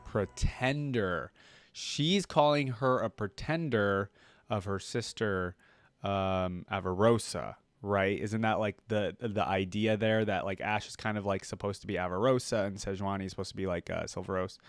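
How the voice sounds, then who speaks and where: A man's voice: lowish voice, normal speed, dry recording, faint music behind. A man giving casual reaction commentary as a video host, informal, slangy and rambling.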